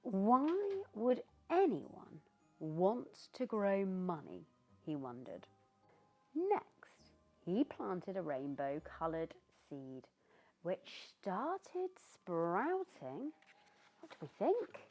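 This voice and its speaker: A British woman reading a children's story aloud in an expressive, theatrical way, with asides to the young listener. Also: medium pitch, drawn-out words. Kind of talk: animated read-aloud narration for young children. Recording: dull lo-fi sound with background music. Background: music.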